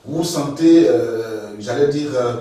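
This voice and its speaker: A man in the middle of the male range hosts an interview, chatty and quick to agree. He sits far from the mic, and a heavy room echo hangs on every word. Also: medium pitch, dark tone. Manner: chatty, eager, frequent affirmations. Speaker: interviewer, host. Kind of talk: interview questions. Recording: roomy echo, distant mic.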